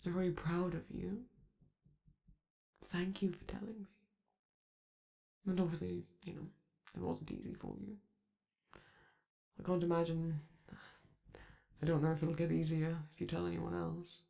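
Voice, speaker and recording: A man voicing a flamboyant, affectionate male robot star who speaks softly and teasingly to his partner in a romantic ASMR roleplay. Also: fairly high pitch, flat delivery, measured pace, vocal fry, long pauses. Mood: reassuring. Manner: soft, doting, teasing, reassuring.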